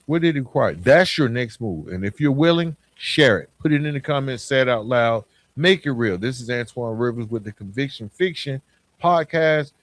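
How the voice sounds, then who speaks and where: Male podcast host for motivational coaching: earnest, emphatic, animated pitch swings, informal direct address, dry close sound. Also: moderate pace, dry recording.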